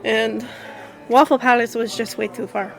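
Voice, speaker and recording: Woman acting as female lawyer reminiscing, unhurried storytelling, slightly high voice, music behind, audio roleplay. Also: fairly even intonation, gravelly, poor audio. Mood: fond, nostalgic. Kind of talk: scripted conversational audio roleplay.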